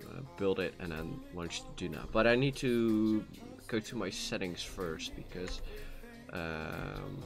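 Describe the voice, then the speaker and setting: Slow-talking Dutch man streaming a game. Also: on the low side. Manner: rambling, many fillers and restarts. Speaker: gaming streamer. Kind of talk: casual live-stream chat while playing. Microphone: cheap USB microphone. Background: soft background music.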